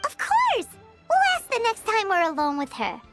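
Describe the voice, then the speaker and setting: A woman voicing a tiny excitable female fairy companion in a video game, bubbly and exclamatory. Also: very high-pitched, normal speed, faint music behind, exaggerated voice. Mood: eager. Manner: bubbly, exclamatory, talks about herself in third person.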